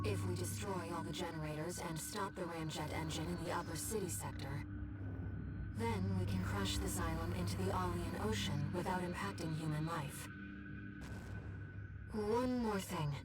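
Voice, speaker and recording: A woman playing a calm, earnest disembodied companion who gives measured, solemn video game mission briefings. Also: lowish voice, lightly acted. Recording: distant voice under loud game music.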